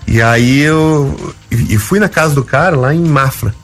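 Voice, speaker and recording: A man's voice: mid-range voice, unhurried, soft background music. A man with a gaúcho southern Brazilian accent, telling stories casually as an interview guest and acting out dialogue. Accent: Gaúcho Brazilian Portuguese. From Rio Grande do Sul, Brazil. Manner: animated, acts out quoted dialogue. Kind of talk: casual storytelling.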